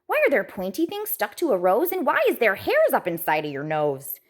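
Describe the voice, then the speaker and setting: A woman's voice: mid-range voice, wildly swooping pitch, a little fast. A woman voicing a curious, bold little girl scientist from a storybook, full of wide-eyed questions. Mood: curious, questioning.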